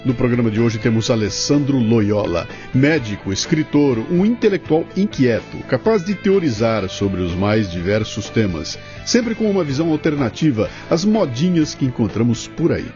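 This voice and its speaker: A man's voice: medium pitch, big pitch swings, normal speed, few pauses, highs cut off, music in the background. A man from Brazil hosting a podcast, chatting informally and tossing in his own opinions. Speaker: podcast host. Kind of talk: casual conversational interview.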